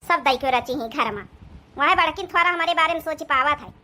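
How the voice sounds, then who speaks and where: A woman's voice: sits high, a little fast, sharp tone. A woman with an Awadhi accent voicing a grumbling, scolding old village mother-in-law in a comedy cartoon, complaining and sarcastic. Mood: bitter grumbling.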